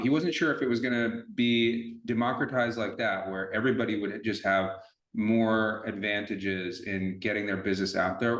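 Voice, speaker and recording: Low-voiced male podcast host, echoey room. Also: a bit bright. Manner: conversational, rambling questions with restarts. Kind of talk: casual interview.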